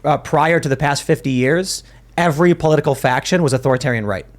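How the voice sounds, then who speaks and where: A man hosting a studio podcast talk, with a voice pitched a little higher than most men's. He speaks in an emphatic, animated way and often trips over and restarts his sentences. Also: fast. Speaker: podcast host. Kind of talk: casual panel talk.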